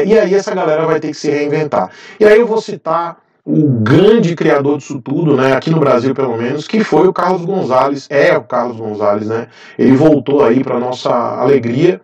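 A man's voice: medium pitch, a little mellow, roomy sound, recorded from a distance. A man in his thirties from Brazil, hosting a casual motorcycle vlog, rambling with frequent fillers and restarts. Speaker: motovlogger, channel host. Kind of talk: casual talking-head vlog. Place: indoor garage with motorcycles. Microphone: lapel mic.

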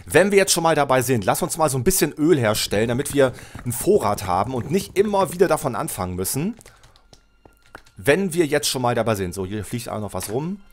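A middle-aged man with a cold, his voice lower than usual, commenting casually on a building game while he plays, thinking aloud and correcting himself. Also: very animated, a little fast. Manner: chatty, thinks aloud, self-correcting, addresses viewer. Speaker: Let's Play host. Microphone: headset mic at desk, webcam.

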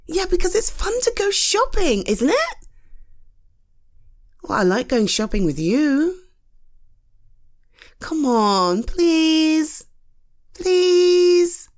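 A British woman plays an eager, cute girlfriend in a casual roleplay. Her voice sits a little high and swings widely in pitch. She takes her time, sounding playful, coaxing and easily flustered as she talks to her partner. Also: very slow, long pauses. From United Kingdom. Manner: cute, coaxing, giggly, expressive. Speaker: roleplay voice performer. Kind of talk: girlfriend roleplay acting, one side of a casual conversation.

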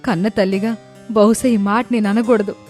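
A woman's voice: mid-range voice, steady tone, moderate pace, darkish tone, soft background music, lightly acted. A woman voicing an elderly widowed mother, dignified and hurt, speaking with emotional, emphatic reproach. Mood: hurt, proud. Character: wounded, dignified elderly widowed mother rebuking her sons.

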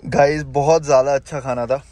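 A man's voice: medium pitch, fairly even intonation, a little fast, darkish tone. A young man from India, chatting upbeat in a road trip vlog and leaning on fillers like "matlab" and "yaar". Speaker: vlogger. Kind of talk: casual travel vlog chat. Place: inside a car on the highway and at an outdoor roadside eatery. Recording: road noise and outdoor hum behind.

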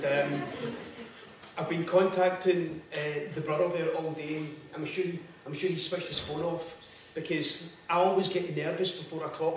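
A man's voice: a little high, far from the mic, a little hiss or hum. Chatty Scottish man in an echoey hall. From Scotland. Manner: chatty, jokey, repeats "right, okay". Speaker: guest lecturer. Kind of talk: public lecture. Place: large lecture hall, standing at podium. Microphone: far camera.

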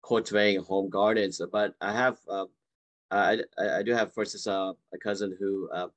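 A man's voice: on the low side, fairly even intonation, moderate pace, a bit bright. A man in his forties, born in Vietnam, answering audience questions on a video call from a room at home, hesitant with many fillers and restarts. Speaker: guest speaker. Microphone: video call webcam.

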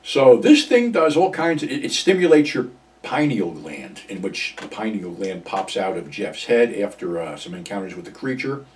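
A man's voice: on the low side, big pitch swings, normal speed. Older guy chatting about monster movies, lots of uhs, sounds a bit far off the mic. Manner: rambling, frequent ums and uhs, frank and profane. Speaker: horror film reviewer. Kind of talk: casual monologue film recap. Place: small home office with computers, indoors. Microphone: far camera, seated at desk. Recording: voice from some distance off the mic.

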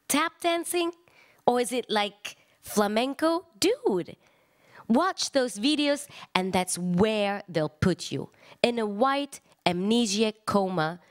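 Expressive woman reading literature aloud. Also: mid-range voice. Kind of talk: dramatic live literary reading of a translation.

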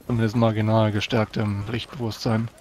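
A man playing a tabletop game, narrating his character's actions deliberately in a subdued, literary way. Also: fairly low pitch, little pitch movement, darkish tone, a little hiss or hum. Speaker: tabletop role-player.

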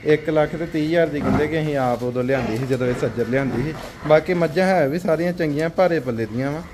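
Fast-talking Punjabi man, raised pitch, livestock dealer giving an on-site sales walkthrough; faint farmyard noise. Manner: brisk, asks prices then pitches to viewers. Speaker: livestock dealer showing buffaloes for sale.

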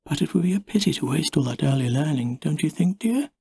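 Male reader doing a soft tender mum, gently coaxing, husky, storybook style. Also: on the high side, animated, quick pace, dry recording, clean studio sound, voice pushed up, full character voice. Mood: gently persuasive. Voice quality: soft, quiet. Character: quiet, tender mother, gently persuasive.

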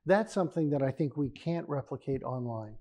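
An older professor answering audience questions in a large lecture hall, often ending points with a quick "right?". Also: male voice, mid-range voice, lively intonation, warm tone. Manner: ends points with a tag "right?". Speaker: keynote speaker, professor.

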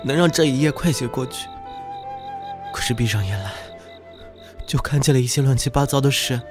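A man's voice: mid-range voice, normal speed, over music, natural voice. A man playing an anguished, earnest young prince in a historical audio drama, speaking with emotion. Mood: anxious, despondent.

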